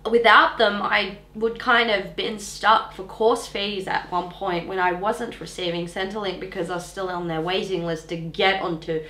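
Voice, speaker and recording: A woman's voice: mid-range voice, steady tone, normal speed, hardly any pauses, pushed and bright, roomy sound. A woman in her twenties with an Australian accent, chatting casually and bluntly, with colloquial opinions. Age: 20s.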